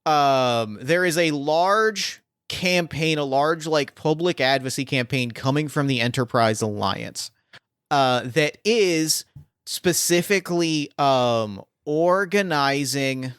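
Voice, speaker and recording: A man's voice: fairly high pitch, animated, takes it slowly, fairly bright tone, clean tone, no room sound, clean studio sound. A man acting as game master, thinking aloud with many fillers and restarts as he sets up a scene. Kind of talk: improvising a tabletop game scene.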